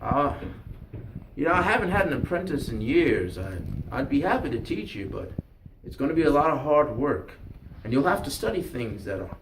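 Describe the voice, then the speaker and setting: A man's voice: fairly high pitch, sing-song, normal speed. A man voicing a veteran spear fisherman, a hearty, folksy mentor, in a live storytelling. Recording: roomy, echoey, distant mic, faint noise.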